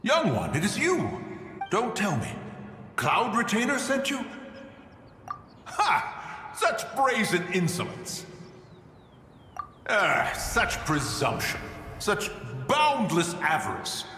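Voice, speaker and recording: A man's voice: high voice, huge pitch swings, slow, long pauses, pushed and bright, echoey room, long reverb tail, soft background music, heavily acted. A man voicing a manic, mischievous immortal adept in a video game, theatrical and pompous with archaic diction. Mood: outraged, manic. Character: manic, mischievous male immortal adept obsessed with planting mint.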